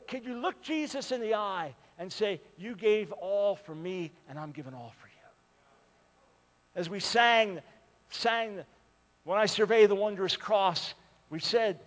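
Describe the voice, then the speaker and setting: An older male preacher in his sixties, his voice pitched high for a man. He swings from loud, ringing appeals to hushed pleading. The sound rings on in a large church hall. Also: lively intonation, a little slow. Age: 60s. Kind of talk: sermon.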